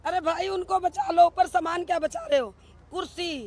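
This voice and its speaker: A woman's voice: extremely high pitch, normal speed, darkish tone, no echo. A middle-aged woman, speaking outdoors into a bank of press microphones, accusing and demanding answers with rhetorical questions. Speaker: bereaved mother speaking to press. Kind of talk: emotional press statement. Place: outdoors in a crowded street. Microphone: cluster of press microphones.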